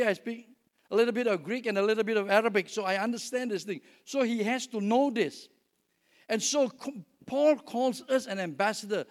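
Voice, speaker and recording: An older man with a Singaporean accent preaching a sermon in a conversational way, often putting questions to his listeners. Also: very high voice. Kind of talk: sermon with scripture reading and teaching.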